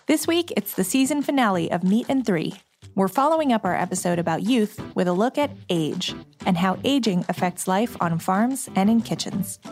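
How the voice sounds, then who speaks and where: A woman with a medium pitch reading scripted podcast trailer narration with music behind her. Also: expressive, normal speed, a little mellow.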